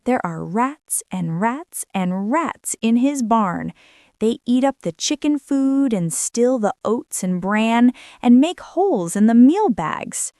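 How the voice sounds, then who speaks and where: A woman's voice: a little high, lively intonation, takes it slowly, dark tone, no echo. Woman reading a storybook, close dry sound. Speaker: storyteller reading a children's book aloud. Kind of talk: scripted storybook narration, bedtime read-aloud.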